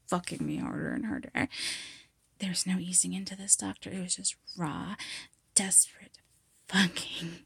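Female, low-pitched and very gravelly, for intimate seductive roleplay narration; teasing, half-whispered delivery, close and dry. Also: dry recording. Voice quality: breathy, husky, gravelly. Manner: sultry, teasing, often dropping into whispers. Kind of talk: intimate erotic audio roleplay monologue. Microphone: close mic, dry sound.

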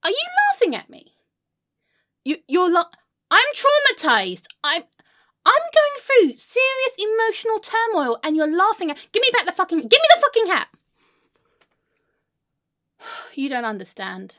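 Woman acting very high-voiced, melodramatic, aggrieved woman. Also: big pitch swings, measured pace, fairly bright tone, heavily acted. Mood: tearful, indignant. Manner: histrionic, sweary, swinging between sulky muttering and wailing. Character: melodramatic, irrational, foul-mouthed woman outraged by her haircut. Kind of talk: comedic monologue to an unheard friend.

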